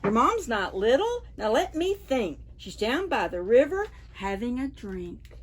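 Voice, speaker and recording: A woman's voice: fairly high pitch, sing-song, a little slow. A woman voicing an eager, helpful but muddled butterfly in a storybook read aloud for preschoolers. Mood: confident.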